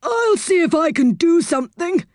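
Woman doing a high, eager old saucepan man. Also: very animated, moderate pace, darkish tone, no echo, studio quality. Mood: helpful.

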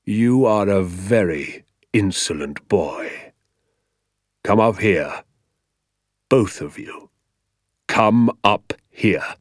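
Storybook schoolmaster: military bully, barking and menacing, contemptuous, highly animated, dry close sound. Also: male voice, no room sound, exaggerated voice. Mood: quietly menacing. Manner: barking, menacing, drawn-out emphatic delivery.

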